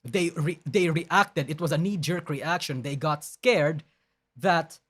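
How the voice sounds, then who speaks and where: Argumentative, slightly high-voiced male streamer. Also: slow, fairly bright tone, breathy edge. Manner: emphatic, argumentative, with frequent restarts; blunt and profane. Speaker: live streamer. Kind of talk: live-stream commentary reading and rebutting a document.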